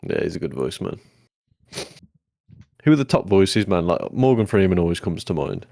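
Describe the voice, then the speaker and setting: A man, co-hosting a casual podcast chat, easygoing and swearing freely, with a deep voice that turns mumbly when relaxed. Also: creaky, no room sound, studio quality. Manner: easygoing, swears casually, quick agreeing "yeah"s. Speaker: podcast co-host.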